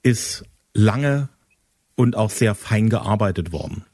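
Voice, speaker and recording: Measured male interview host. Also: on the low side, animated, a little mellow, slightly rough, no room sound, studio quality. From eastern Germany. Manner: measured, explanatory. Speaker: podcast host. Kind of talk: interview conversation.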